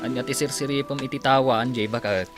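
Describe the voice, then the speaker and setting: A man's voice: medium pitch, steady tone, fast talker, music in the background, close to an everyday voice. A man with an Ilocano accent acting as a contented, easygoing village husband in a radio comedy drama. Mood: curious.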